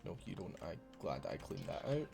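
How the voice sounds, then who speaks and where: Gravelly low-voiced Scottish streamer. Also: male voice, big pitch swings, normal speed, a little mellow, soft background music. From Scotland. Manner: chatty, swears freely, banters with chat. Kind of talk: casual gameplay commentary.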